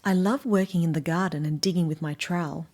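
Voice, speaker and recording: A woman with an Australian accent voicing a friendly, proud young male storybook character, speaking slowly and deliberately for a children's read-aloud. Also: lowish voice, steady tone, darkish tone, natural voice. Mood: proud, happy.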